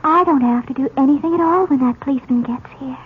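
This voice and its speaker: An actress in a 1970s radio mystery playing a sly teenage psychic girl, with a breathy, theatrical delivery. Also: high voice, fast, close to an everyday voice. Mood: sly, taunting. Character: sly teenage girl psychic, taunting, feigning eerie trances. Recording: tinny old radio recording with music.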